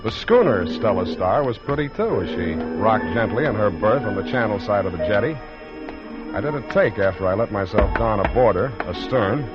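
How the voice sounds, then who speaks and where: An actor playing a wisecracking hardboiled private detective, wry and deadpan, in 1940s radio style. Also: male voice, on the low side, moderate pace, close to an everyday voice. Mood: wry, breezy. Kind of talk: 1940s radio acting with narration. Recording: muffled 1940s radio recording, noisy music.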